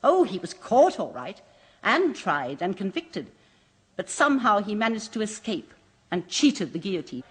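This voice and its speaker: An actress playing a composed woman who runs a waxworks museum, speaking briskly and precisely, in a vintage radio drama. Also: medium pitch, very animated, breathy edge. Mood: cool. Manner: brisk, precise, faintly macabre relish. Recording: muffled old radio broadcast.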